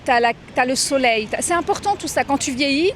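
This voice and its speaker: High-voiced French woman in street interview. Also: expressive, brisk. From born in Tunisia, grew up in France from age five. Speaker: interviewee, director of a diaspora federation. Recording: outdoor street recording with background noise.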